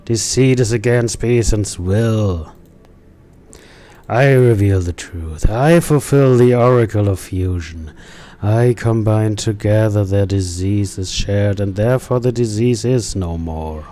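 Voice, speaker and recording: Man playing a slow, solemn masked zealot. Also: lowish voice, dark tone, slight background noise, voice pushed down. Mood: solemn, zealous. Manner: solemn, declamatory. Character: masked zealous killer preaching divine judgement. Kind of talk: dramatic reading of game dialogue.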